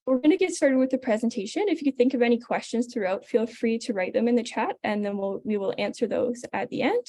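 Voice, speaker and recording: A woman hosting a webinar, reading a measured, scripted introduction of the guest. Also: on the high side, mellow. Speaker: webinar host.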